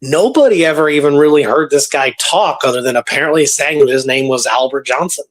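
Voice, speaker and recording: A man hosting a radio show, telling a historical case in a casual, animated, conversational way. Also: a little high, fairly quick.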